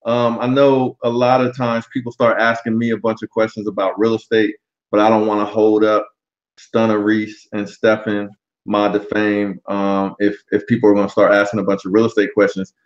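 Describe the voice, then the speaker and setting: A man in his forties hosting a casual livestream chat, relaxed and often pausing on um. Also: on the low side, dull audio. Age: 40s. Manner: relaxed, frequent um fillers. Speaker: livestream host. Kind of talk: casual live-stream chat.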